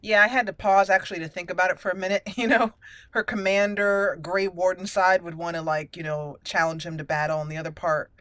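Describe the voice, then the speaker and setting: A woman's voice: fairly low pitch, steady tone, a little fast, fairly bright tone, dry recording. A woman hosting a roleplay let's play, chatty and playful, thinking aloud as she goes. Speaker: gaming host.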